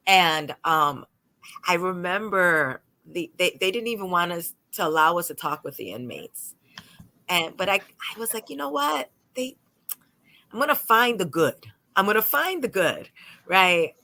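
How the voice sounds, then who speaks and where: A woman's voice: on the low side, measured pace. A woman lawyer from New York speaking on a panel, telling stories animatedly and acting out what people said. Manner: animated storytelling, acts out quotes. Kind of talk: panel discussion.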